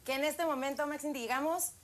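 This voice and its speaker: A woman's voice: sits high, steady tone, fairly quick, fairly bright tone. A woman hosting a live telethon, earnestly urging viewers to sign up and donate. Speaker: host.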